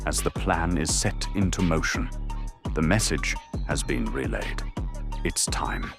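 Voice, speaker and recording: A man's voice: a bass voice, animated, unhurried, creaky. A man reading scripted, dramatic narration as the narrator of an audio drama. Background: music.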